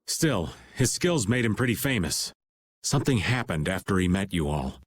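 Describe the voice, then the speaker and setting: A man's voice: low voice, big pitch swings, normal speed, slightly rough, heavily acted. An actor playing a laid-back, self-pitying older man in an anime video game, grumbling in a folksy, teasing way. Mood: musing.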